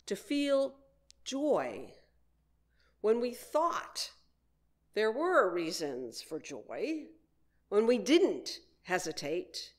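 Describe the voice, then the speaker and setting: A woman minister delivering a prepared sermon in measured, deliberate phrases with frequent pauses. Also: mid-range voice.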